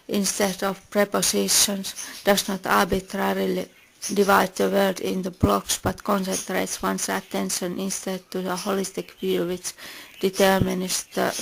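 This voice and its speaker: A woman with a Finnish accent, a native Finnish speaker, reading a personal essay in a measured, deliberate and earnest way. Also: medium pitch, vocal fry, low-quality recording. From Finland.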